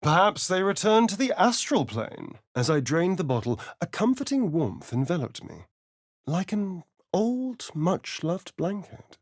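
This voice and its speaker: A sardonic, vain male vampire rogue for a fantasy video game. His delivery is slow and arch, swooping widely in pitch with theatrical relish. The voice is slightly breathy, recorded close and dry. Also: big pitch swings, no echo, exaggerated voice. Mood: dramatic recollection. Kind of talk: video game character voice acting.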